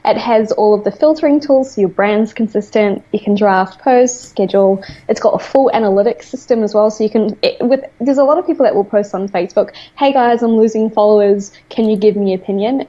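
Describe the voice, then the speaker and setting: A woman's voice: medium pitch, a little fast, few pauses, mellow. New Zealand woman on a lo-fi call. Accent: New Zealand with some Australian. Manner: chatty, laughs often. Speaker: guest, app founder. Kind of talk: casual interview chat. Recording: dull lo-fi remote call audio.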